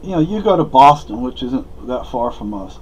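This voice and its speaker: A man from Spain, whose first language is Spanish, delivers a rambling, opinionated monologue, stopping and restarting often. His voice sits at an ordinary middle pitch. The recording sounds dull and lo-fi, with faint noise behind it. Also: lively intonation, darkish tone. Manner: rambling, halting, frequent fillers and restarts. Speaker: vlogger.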